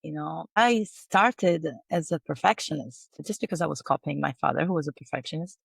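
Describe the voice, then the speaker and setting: A woman's voice: deep voice, expressive, brisk, creaky, slightly rough, no echo. A woman hosting an interview, asking reflective, coaxing questions. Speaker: host, wellness coach. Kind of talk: interview conversation.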